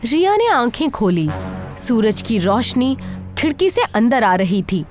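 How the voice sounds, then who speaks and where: High-pitched woman narrating horror with dramatic swings. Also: very animated, measured pace, darkish tone, faint music behind. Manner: suspenseful, theatrical. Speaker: storyteller, narrator.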